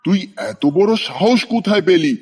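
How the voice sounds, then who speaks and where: A cruel, greedy tiger from a moral cartoon, acted by a man, pitched a little high. He is domineering and threatening, gloating over his strength and ordering weaker creatures about. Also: animated, moderate pace, a little mellow, husky. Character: strong, cruel, bossy, greedy male tiger. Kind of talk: scripted cartoon dialogue.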